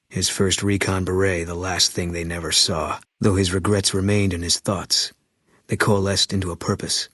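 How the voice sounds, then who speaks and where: A man playing a weathered storyteller, reading scripted video game epilogue narration in a grave, measured manner. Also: low voice, a bit bright, breathy edge, no room sound, clean studio sound.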